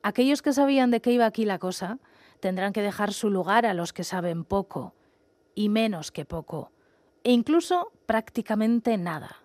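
A woman reading scripted text and verse aloud in a measured, narrated style, recorded in a studio. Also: mid-range voice, animated, darkish tone, no room sound.

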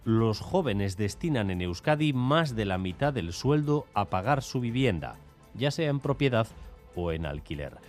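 A man anchoring a radio news bulletin, reading the headlines in a measured, formal way. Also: medium pitch. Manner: measured, formal newsreader delivery.

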